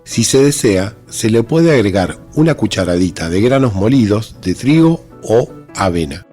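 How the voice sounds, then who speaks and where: A man's voice: lowish voice, very animated, normal speed, dark tone, soft background music. A man giving scripted instructional narration. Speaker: narrator of farming advice.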